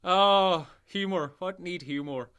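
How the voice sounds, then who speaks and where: A man's voice: high voice, slow pace, a bit bright, smooth. A man doing jokey, teasing comic banter.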